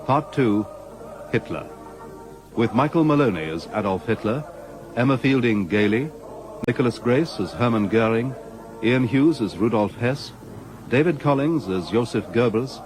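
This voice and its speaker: A man giving a scripted radio drama announcement in a measured, formal manner. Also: medium pitch, lively intonation, rough recording. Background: music.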